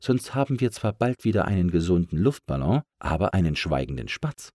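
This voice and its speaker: A man's voice: sits low, big pitch swings, fairly quick, mellow, dry recording, studio quality. A man voicing a kindly, practical air pump that gives instructions with wry wit in a children's story. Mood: wry warning.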